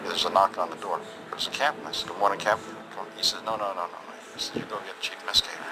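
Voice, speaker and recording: A man's voice: steady tone, quick pace. An older American man telling an anecdote from his navy days, acting out the quoted dialogue. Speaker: retired navy chief interviewee. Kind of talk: anecdotal storytelling. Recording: clear background ambient rumble.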